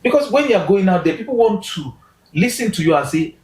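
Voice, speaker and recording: A man talking as a guest in a casual interview, animated, pushing his voice up for emphasis and restarting sentences. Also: high voice, brisk, crowd noise. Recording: roomy echo.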